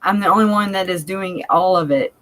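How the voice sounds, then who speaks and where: A woman's voice: fairly low pitch, a little fast. A woman with a country-sounding Cajun accent, co-hosting a casual live chat, talking candidly and laughing often. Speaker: livestream co-host.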